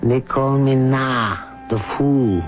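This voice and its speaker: A man's voice: mid-range voice, slow, natural voice. An actor playing a humble, wistful fool, a rabbi's servant, telling his story reflectively in a radio drama. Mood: wistful, confiding. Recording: dull lo-fi old radio broadcast, loud music.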